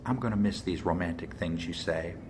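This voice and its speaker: A man's voice: low-pitched, brisk, noisy background, close to an everyday voice. A man voicing a flippant young actress with a breezy, teasing manner, as a character in an audiobook.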